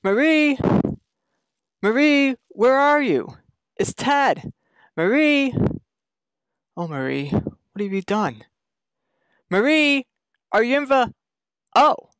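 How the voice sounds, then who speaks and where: An actor playing an anxious, defensive young husband in a radio mystery, speaking in an agitated way. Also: male voice, very high voice, big pitch swings, takes it slowly, pauses often, a bit bright, clean tone, no echo, heavily acted. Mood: frantic. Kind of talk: retro radio mystery acting.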